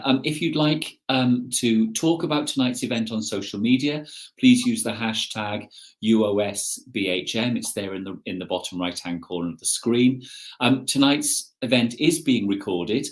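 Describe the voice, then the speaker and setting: A man giving a formal, measured event introduction as an academic host, sometimes halting as he goes. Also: fairly low pitch.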